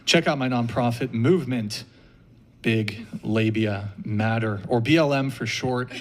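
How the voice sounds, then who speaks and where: A man hosting a podcast, running through show announcements briskly with deadpan jokes. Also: medium pitch. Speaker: podcast host. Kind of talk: rapid-fire show announcements.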